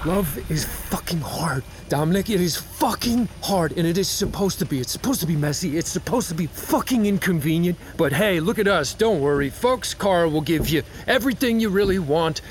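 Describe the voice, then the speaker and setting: A man's voice: high voice, expressive, normal speed, few pauses, slight background noise. An actor playing a disillusioned, agitated head engineer and family man in an audio drama, swearing with bitter sarcasm. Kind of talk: naturalistic audio drama acting.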